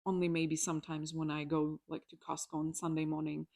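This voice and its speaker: A young woman with a Czech accent, speaking a little lower than most women. She tells her story in a chatty, candid way with fillers and small restarts, like a relaxed personal vlog. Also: a little fast, gravelly. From Czech Republic. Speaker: electrologist.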